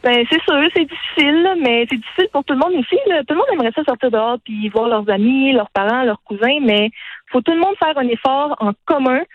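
A teenage girl with a Quebec French accent speaks as a radio interview guest, earnest and quick. Her voice sits a little high for a woman. The sound is close and dry, with a dull, muffled radio tone. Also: dry recording. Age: teens. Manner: earnest, fast-talking, frequent "là" tags.

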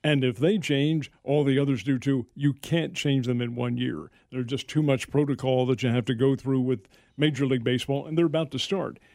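A man's voice: mid-range voice, steady tone, a little fast, few pauses, darkish tone, dry recording. A man co-hosting a casual sports talk show, opinionated and folksy, with dry sarcastic asides. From Irish heritage. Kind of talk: casual sports radio chat.

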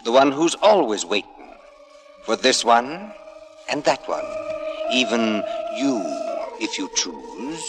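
An actor playing a suave, gloating Satan who hosts a 1950s radio horror tale in a theatrical, insinuating way. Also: male voice, medium pitch, very animated, slow pace, very noisy. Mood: sly, menacing. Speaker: story narrator and host. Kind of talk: 1950s radio drama acting. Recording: old radio recording, loud music behind.

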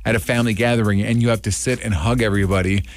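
A man's voice: lowish voice, fairly even intonation, very fast. A man from Minnesota hosting morning radio in a studio, easygoing and chatty. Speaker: radio host.

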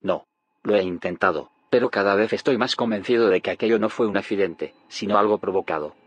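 Actor playing a low-voiced, solemn secretive scientist. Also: male voice, brisk, no room sound, close to an everyday voice. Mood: grave, convinced. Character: grave, secretive male scientist haunted by failed timelines. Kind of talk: audio fiction drama acting.

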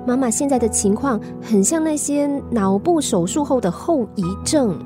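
A woman's voice: a little high, animated, moderate pace, over music, close to an everyday voice. A woman playing a caring, cheeky young granddaughter in a radio drama, with a coaxing, pleading manner. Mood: earnest, worried.

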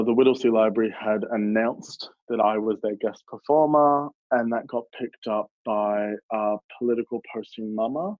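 A young man with an Australian accent speaks earnestly and reflectively, pausing on fillers as he thinks aloud. His voice sits a little low, and the recording sounds dry and slightly muffled. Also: no room sound. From Melbourne, Australia. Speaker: guest, drag performer. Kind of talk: casual podcast interview.

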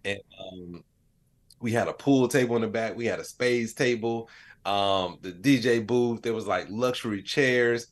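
A man's voice: on the low side, a little slow. A man speaking as an interview guest, telling stories in a casual, rambling way with lots of "like" and "you know". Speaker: interview guest, comedian and AI storyteller. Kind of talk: casual conversational storytelling.

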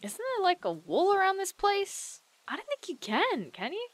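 An actress playing a sardonic, excitable young woman who grumbles and swears her way through an audio drama adventure. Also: extremely high pitch, moderate pace, no echo, heavily acted. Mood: wry. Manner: sarcastic, grumbling, casually sweary. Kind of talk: naturalistic audio drama dialogue.

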